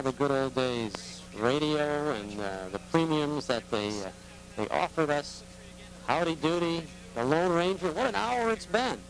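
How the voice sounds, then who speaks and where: A man's voice: medium pitch, creaky, a little hiss or hum, poor audio. A man hosting a local TV talk show, chatting with a guest and signing off in an easygoing way. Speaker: TV host.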